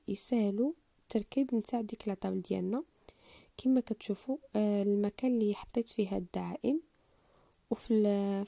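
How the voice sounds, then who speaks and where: A woman's voice: medium pitch, vocal fry, mellow, no room sound. A woman with a Moroccan Arabic accent hosting a home DIY channel, explaining furniture assembly step by step to viewers in a voice-over. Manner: unhurried, explanatory, addresses viewers directly.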